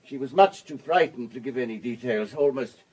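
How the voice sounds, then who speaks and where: A man's voice: medium pitch, normal speed, darkish tone, breathy edge, close to an everyday voice. An actor playing a wealthy, urbane society man in a radio drama, breezy, courteous and talkative. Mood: breezy, hospitable.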